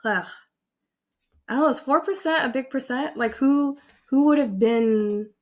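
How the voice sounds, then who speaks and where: Slow-talking, excitable young woman vlogger. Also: high voice. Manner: animated, excitable, filler-heavy with frequent likes. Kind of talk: casual reaction vlog.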